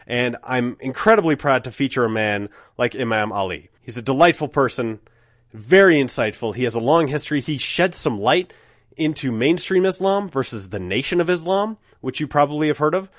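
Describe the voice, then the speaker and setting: A man's voice: mid-range voice, lively intonation, normal speed. A middle-aged male podcast host talks with a head cold, earnest and candid. His voice sits in an ordinary middle range, and the dry, close sound carries no room echo. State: has a cold. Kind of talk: casual podcast intro monologue.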